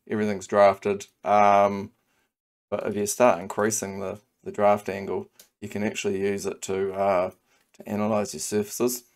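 A man with a New Zealand accent explains a design workflow over a screen recording. His voice sits low and stays fairly flat. He talks casually and thinks out loud, padding his sentences with ums and half-finished restarts. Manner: relaxed, thinking aloud with many ums and restarts. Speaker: CAD design tutor. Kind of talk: screen-recorded software tutorial.

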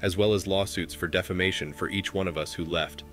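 A man in his thirties hosting a commentary show, reading posts aloud in a steady, measured way. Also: low voice, faint music behind. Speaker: commentary host.

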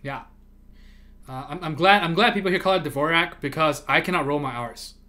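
Livestream host, man, first language Chinese, talkative and repetitive, bright fairly high voice. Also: a little fast. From moved to America from abroad. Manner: rambling, repeats words, laughs often. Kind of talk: casual chat with chat readers.

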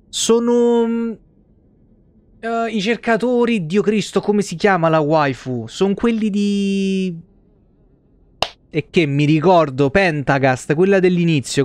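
A male streamer with a fairly high voice. He talks casually and informally over his game, close to the microphone, in a dry sound. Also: no room sound. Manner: informal, mild exclamations. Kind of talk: casual live game commentary, reading game text aloud. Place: small indoor streaming setup.

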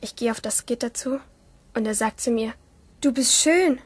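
A woman's voice: fairly high pitch, normal speed, breathy edge, dry recording. A woman playing a rebellious, lonely teenage girl who narrates her thoughts intimately in a German radio play. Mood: hesitant, nervous.

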